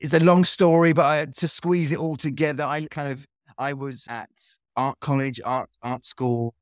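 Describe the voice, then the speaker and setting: A man's voice: fairly high pitch, steady tone, normal speed, no room sound, studio quality. A middle-aged man from London with a British accent, chatting as an interview guest and rambling through stories with many restarts. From London, England. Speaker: guest, coach. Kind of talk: casual chat. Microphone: video call.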